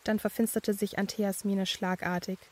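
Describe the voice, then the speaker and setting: A woman playing a young student who narrates her eerie experiences in a measured, scripted first-person style. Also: medium pitch, little pitch movement, a little mellow, close to an everyday voice. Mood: measured, uneasy.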